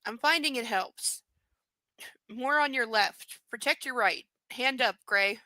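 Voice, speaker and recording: A woman's voice: fairly high pitch, slow pace, bright, forceful tone, no echo, low-quality recording. A woman voicing a cocky male sparring trainer who taunts his opponent in coarse language. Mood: taunting.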